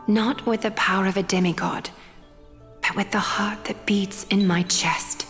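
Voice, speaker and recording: A woman voicing a serene, caring noblewoman seamstress and demigod in a video game, speaking in a measured, tender, formal manner. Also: mid-range voice, fairly even intonation, a bit bright, close to an everyday voice. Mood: tender, assured. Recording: long hall reverb over music.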